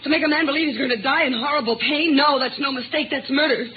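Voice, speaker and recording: An actress playing a distraught, bitter, accusing wife in 1950s radio drama, with an overwrought, emphatic delivery. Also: female voice, high-pitched, fast talker, close to an everyday voice. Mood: bitter, desperate. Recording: tinny, noisy vintage radio recording.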